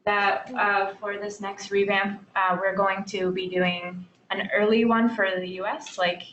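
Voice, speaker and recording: A woman hosting an online meeting, giving casual updates in a relaxed, informal way with frequent fillers, on a video call. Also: mid-range voice, measured pace. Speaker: meeting host. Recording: dull, echoey, faint noise.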